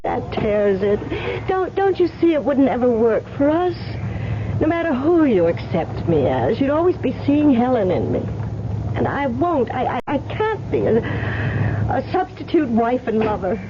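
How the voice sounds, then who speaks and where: Casting: conflicted young romantic heroine, radio drama, expressive higher voice, muffled vintage sound. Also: female voice, unhurried. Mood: distraught, firm. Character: earnest, conflicted young woman in love, telling her story. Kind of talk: old-time radio drama acting and narration. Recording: muffled, noisy old radio recording.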